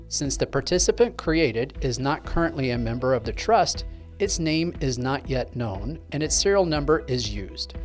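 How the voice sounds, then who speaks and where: A man, giving a scripted step-by-step tech tutorial as presenter. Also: a little high. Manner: clear step-by-step explaining. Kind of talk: scripted instructional narration.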